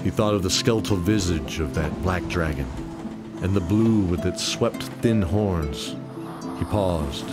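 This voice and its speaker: A man's voice: low-pitched, a little slow. A man giving scripted narration of a fantasy adventure story in an audio drama. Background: music.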